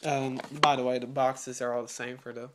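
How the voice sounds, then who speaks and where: Eager boy unboxing toys, slightly croaky. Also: medium pitch, little pitch movement, a little fast. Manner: chatty, eager, apologetic, clears throat often. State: getting over a cold. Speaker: toy reviewer. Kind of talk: casual unboxing review. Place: home room with patterned rug. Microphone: phone camera held close. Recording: clear crinkling packaging noise. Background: loud crinkling plastic packaging.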